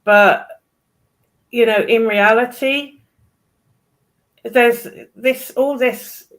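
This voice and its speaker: A woman's voice: on the high side, steady tone, drawn-out words, a bit bright. A British woman in casual political chat, speaking in a blunt, opinionated way with some swearing. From United Kingdom.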